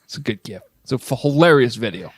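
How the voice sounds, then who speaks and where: A man's voice: medium pitch, expressive, quick pace, dry recording, clean studio sound. A man hosting a podcast, chatting casually about sports. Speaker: podcast host.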